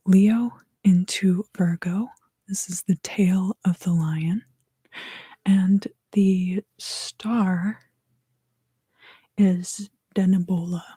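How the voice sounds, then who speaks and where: A woman whispering a soothing ASMR tarot reading in a breathy, mostly unvoiced voice, taking her time. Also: fairly low pitch, dark tone, no echo. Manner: soothing, unhurried.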